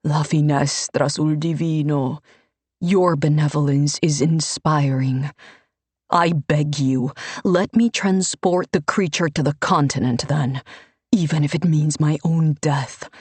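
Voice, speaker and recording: A woman voicing a sanctimonious, scheming male priest with an oily, pompous delivery, spoken slow and low. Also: steady tone, darkish tone, breathy edge, dry recording, exaggerated voice. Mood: pleading.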